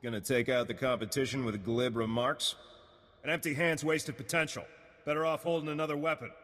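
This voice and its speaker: A man's voice: medium pitch, fairly even intonation, a little fast, fairly bright tone, lightly acted. Man as goading, echoing weapons instructor. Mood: taunting. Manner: blunt, folksy, goading. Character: ferocious, taunting male weapons instructor. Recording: long reverb.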